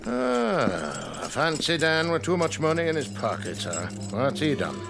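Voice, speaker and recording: An actor playing a brusque, sardonic and folksy police sergeant in a period radio drama. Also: male voice, a little high, huge pitch swings, unhurried, gravelly, music in the background, exaggerated voice. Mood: brusque, mocking. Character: gruff, skeptical male police sergeant of the 1860s.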